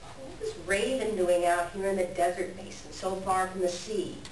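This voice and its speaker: A woman's voice: mid-range voice, moderate pace, natural voice. A woman playing a scruffy, bewildered, laid-back coyote in a folksy, musing reader's theater performance. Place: indoor library reading, distant mic. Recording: very echoey, distant, clearly noisy room.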